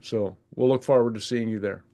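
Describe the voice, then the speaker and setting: A man's voice: lowish voice, steady tone, a little fast, dark tone. A man in his sixties hosting a video call, chatting casually and joking about himself with a self-amused laugh. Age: 60s. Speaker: event host.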